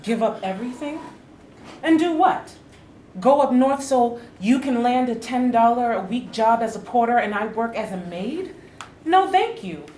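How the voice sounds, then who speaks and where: A woman's voice: fairly high pitch, lively intonation, normal speed, darkish tone, close to an everyday voice. A woman playing a resolute, weary wife with a firm, dismissive manner in a staged play reading. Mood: defiant. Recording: echoey room, faint background noise.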